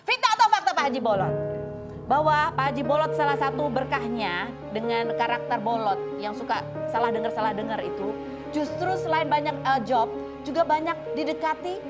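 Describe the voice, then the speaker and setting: A woman's voice: sits high, moderate pace, loud music behind the voice. A woman with an Indonesian accent hosting a celebrity talk show, questioning in a playful, theatrical way.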